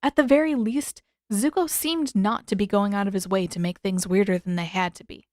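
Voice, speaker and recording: A woman's voice: medium pitch, moderate pace, darkish tone, no echo, clean studio sound. A woman reading scripted story narration as an audiobook narrator.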